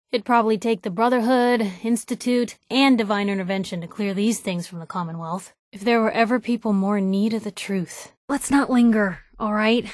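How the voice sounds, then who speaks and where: A woman's voice: medium pitch, no room sound, studio quality, lightly acted. An actress playing a plucky young woman reporter, a video game companion with a wry, conversational, sardonic way of talking.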